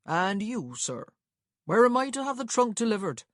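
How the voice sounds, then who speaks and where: A woman voicing an impatient, short-tempered gentleman traveller in an audiobook, curt and insistent. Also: medium pitch, very animated, normal speed, no room sound, clean studio sound, pitched above the performer's own voice, natural voice. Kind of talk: acted audiobook dialogue.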